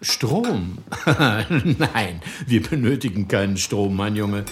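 Smug village leader in a radio play, preachy, voice swoops up and down. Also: male voice, lowish voice, lively intonation, slow pace, slight background noise. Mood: condescending. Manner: lofty, preaching, drops to a hush. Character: smooth-talking village leader, older man, self-assured.

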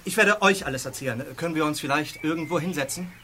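A man's voice: fairly high pitch, quick pace, pushed and bright, a little hiss or hum. An actor playing an agitated, insistent man in trouble in an audio drama, with an urgent and slightly pompous way of explaining. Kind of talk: German audio drama acting.

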